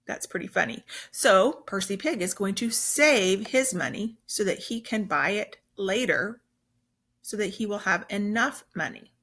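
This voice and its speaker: A woman's voice: mid-range voice, animated, measured pace, a bit bright. A woman teacher reading a children's story aloud, explaining simply and with emphasis as she goes.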